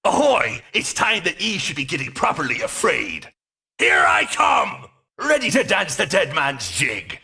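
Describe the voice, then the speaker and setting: A man voicing a mischievous, menacing animatronic pirate fox in a video game, with a stage pirate accent, boisterous and taunting in a gravelly growl. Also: on the high side, huge pitch swings, measured pace, pushed and bright, exaggerated voice. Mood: gleeful menace. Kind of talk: video game character voice lines.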